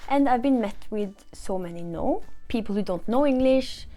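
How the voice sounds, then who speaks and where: A woman's voice: on the high side, animated, normal speed, warm tone. A young woman from Norway, a vlogger chatting casually to camera with lots of "like" and "you know".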